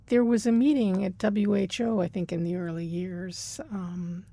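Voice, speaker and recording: Woman interviewer with a gravelly low-key voice. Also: level tone, measured pace, warm tone, dry recording. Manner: brief, prompting questions. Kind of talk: oral history interview questions.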